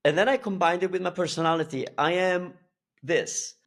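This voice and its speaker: Easygoing man, fairly high voice. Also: moderate pace, vocal fry. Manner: easygoing, relaxed, thoughtful with frequent restarts. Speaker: guest, language school founder. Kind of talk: casual interview talk.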